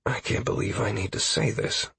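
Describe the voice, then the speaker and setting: A man's voice: mid-range voice, sing-song, normal speed, fairly bright tone, husky, dry recording, voice pushed up, exaggerated voice. A man playing a wry, cocky male party leader in a fantasy audiobook, with a dry, teasing manner. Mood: exasperated.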